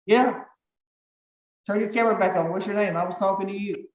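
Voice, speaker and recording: A woman judge with a deep voice presiding over virtual hearings, giving firm, brisk, repeated procedural instructions over a video call. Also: steady tone, slight background noise. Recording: echoey, distant, dull video-call audio.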